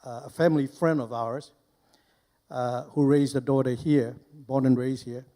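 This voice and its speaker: Older male guest speaker from Burma, soft-edged warm timbre, deliberate and halting, informal testimony. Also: mid-range voice, steady tone. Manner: halting, deliberate, with short broken phrases. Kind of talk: informal personal testimony to a live audience. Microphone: handheld microphone held close.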